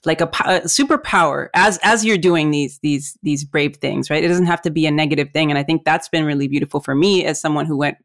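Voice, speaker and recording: A woman's voice: low-pitched, brisk, few pauses, dry recording, clean studio sound. Earnest Mexican-born woman podcast guest. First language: Spanish. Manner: earnest, mixes Spanish phrases, repeats words. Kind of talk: casual podcast conversation.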